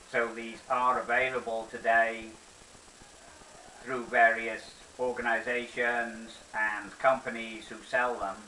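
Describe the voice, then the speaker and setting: Older male presenter, bright forceful tone, unhurried deliberate delivery, nostalgic monologue. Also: medium pitch. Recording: roomy echo, distant mic, faint hiss.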